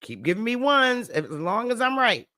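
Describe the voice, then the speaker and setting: A woman's voice: a little high, very animated, moderate pace, bright, forceful tone, no echo, studio quality. A woman with a Northern US accent hosting a livestream, lecturing her viewers emphatically, repeating her points and calling on the chat to answer back. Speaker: livestream host.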